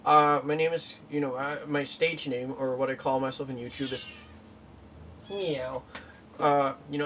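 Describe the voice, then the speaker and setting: A young man from the Philippines rambles through a casual vlog, full of fillers and restarts. His voice sits a bit high for a man. He is picked up from some distance, with faint background noise. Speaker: YouTuber. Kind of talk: casual vlog talk to camera.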